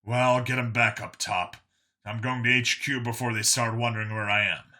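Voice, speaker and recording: A grimly sardonic lawman in an audiobook voiced by a male narrator. His middle-pitched voice is hard and bright, and he speaks flatly and bluntly, without frills. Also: moderate pace. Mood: brisk, businesslike. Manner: flat, blunt, deadpan.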